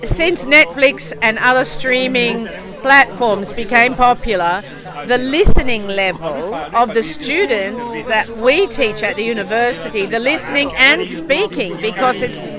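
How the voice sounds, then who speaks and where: A woman's voice: a little high, expressive, unhurried, crowd noise. A woman with an Australian accent, a university language teacher, explaining at length in a casual interview.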